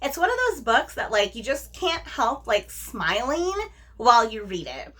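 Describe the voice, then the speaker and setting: A woman's voice: a little high, sing-song, a little slow, pushed and bright, breathy edge. A woman talking casually as a vlog host, in an effusive, emphatic, informal way with slangy asides. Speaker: book review vlogger, host. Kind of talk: casual book chat to camera.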